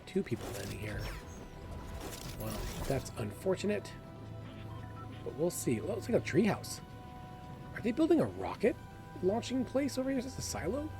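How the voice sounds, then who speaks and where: A man's voice: sits high, wildly swooping pitch, moderate pace, gravelly, long pauses, over music. A man hosting a gaming video with casual, chatty commentary, thinking aloud and enthusiastic about the game. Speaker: gaming video creator and host.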